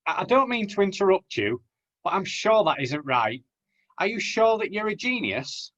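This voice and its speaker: A man's voice: high voice, big pitch swings, moderate pace, fairly bright tone. A man playing a plucky, naive young Aladdin in a rhyming pantomime, with an earnest theatrical delivery. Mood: polite, puzzled. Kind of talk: pantomime acting.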